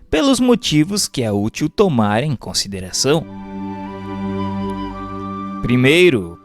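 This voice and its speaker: Guy reading an audiobook, formal and measured, lots of up and down in the voice, faint music behind. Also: mid-range voice. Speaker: narrator reading a book aloud. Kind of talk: scripted audiobook narration.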